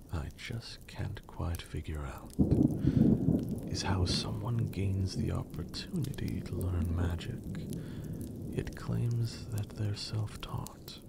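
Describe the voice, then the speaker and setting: A man acting as a haughty vampire lord in an ASMR roleplay. His voice sits very low and crackles with gravelly fry, often slipping into breathy whispers. He takes his time, drawling formal, condescending lines with a teasing, gentlemanly polish. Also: exaggerated voice. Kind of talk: ASMR roleplay, intimate and scripted.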